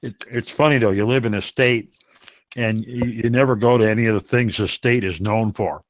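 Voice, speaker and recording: A man's voice: fairly low pitch, fairly even intonation, normal speed, no room sound. A man chatting casually in a group, asking questions and telling stories.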